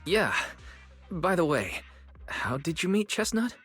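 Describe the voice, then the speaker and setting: A man's voice: high-pitched, sing-song, takes it slowly, a bit bright, husky, faint music behind, heavily acted. An actor playing a curious, easygoing young male companion in a video game, conversational and inquisitive. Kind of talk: video game voice acting, dialogue.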